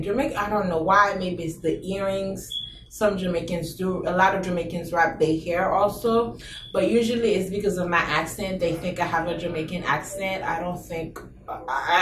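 A young woman from Haiti with a Haitian accent, telling stories casually to camera in a small room, animated and laughing, full of rhetorical questions. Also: fairly low pitch, slight background noise. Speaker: vlogger. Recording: roomy echo, slightly distant mic.

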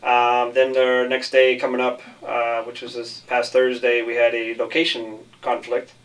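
A man speaks as a film director in a casual interview. His pitch stays quite level and unshowy. He sounds a little way off from the microphone. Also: completely flat delivery, a little slow, fairly bright tone, recorded from a distance, low-quality recording.

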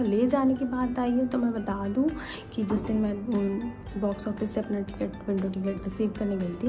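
Chatty young woman vlogger over background music. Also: mid-range voice. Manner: chatty, explains step by step with many asides. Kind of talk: casual explanatory vlog. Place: small indoor room at home. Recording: music playing behind voice.

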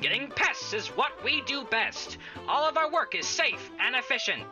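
Woman as a high, swooping peppy ad announcer. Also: big pitch swings, moderate pace. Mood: peppy, salesy. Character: peppy female pest-control advertising announcer. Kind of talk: rhyming parody radio advertisement. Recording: dull lo-fi sound, music bed.

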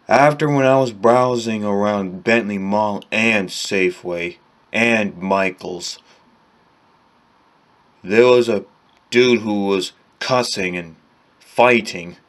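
A young man vlogging to camera from a small bedroom, slowly and plainly retelling events on a close webcam. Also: on the low side. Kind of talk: casual vlog to camera.

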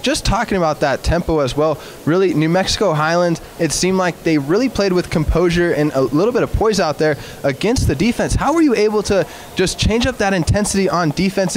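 A man's voice: fairly high pitch, a little hiss or hum. A young man interviewing a coach after a game in an eager, upbeat way with frequent fillers. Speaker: sports interviewer. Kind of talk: postgame interview.

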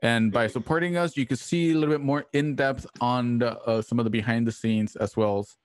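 A man's voice: medium pitch, steady tone, brisk. A man hosting an online livestream from home, speaking casually into a close microphone. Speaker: host. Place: home room on video call. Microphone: close microphone with headset.

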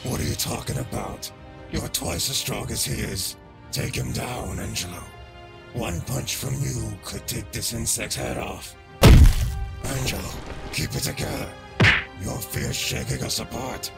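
A man's voice: mid-range voice, gravelly. A man voicing a menacing alien symbiote monster in a comic dub, cruel, arrogant and sneering. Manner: sneering, bullying. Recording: loud music behind. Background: loud music.

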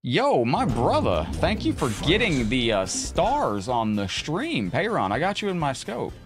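A man hosting a live gaming stream, chatting loosely with viewers and rambling between thoughts, his throat going hoarse. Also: mid-range voice, wildly swooping pitch, measured pace. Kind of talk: casual live-stream chat while gaming. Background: loud game music.

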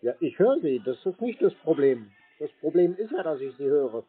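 Husky, dry, sceptical man on phone. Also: on the high side, very animated, moderate pace. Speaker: scam-baiter taking a call. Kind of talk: casual phone conversation. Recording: tinny, band-limited phone line.

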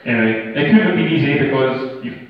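A man's voice: mid-range voice, animated, a little fast. A young Scottish man giving an informal, joking best man's speech at a wedding, with casual swearing and banter. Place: large function room at a wedding reception. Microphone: handheld PA microphone, filmed from the audience. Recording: echoey hall from far off, muffled, crowd noise. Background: wedding guests' chatter and laughter.

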